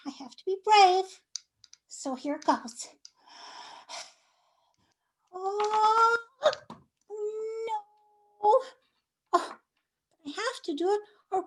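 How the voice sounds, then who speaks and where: A woman's voice: extremely high pitch, exaggerated voice. A woman voicing a timid little billy goat boy who stammers and frets. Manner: stammering, fretful.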